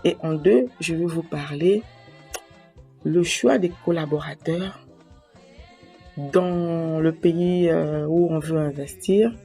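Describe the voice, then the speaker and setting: A woman from Congo-Brazzaville, talking to camera in a slow, earnest way to explain her advice and quoting proverbs. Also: low voice, dark tone, faint music behind. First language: a Congolese language. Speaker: association president giving advice.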